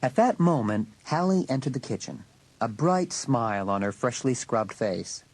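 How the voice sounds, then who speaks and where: A man's voice: medium pitch, expressive, normal speed, background noise. A man reading scripted narration for a dramatised audiobook.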